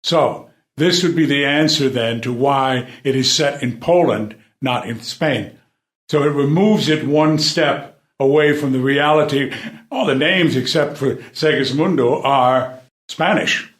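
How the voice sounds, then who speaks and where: A man argues his points emphatically in a casual literary discussion. His voice sits at a middling pitch, and the room adds a noticeable echo. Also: a little slow, a bit bright. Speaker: podcast discussion panelist. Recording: echoey room.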